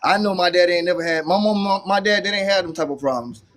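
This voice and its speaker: Rambling man with a high voice. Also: fast, fairly bright tone. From Haitian American, born in the US. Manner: rambling, lots of fillers. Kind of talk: casual chat.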